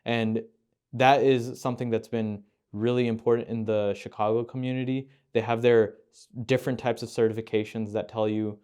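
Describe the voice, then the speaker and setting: A man's voice: mid-range voice, flat delivery, normal speed, a little mellow, clean tone. A man hosting a podcast, asking thoughtful, winding questions and correcting himself as he goes. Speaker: podcast host. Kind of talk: casual conversational interview.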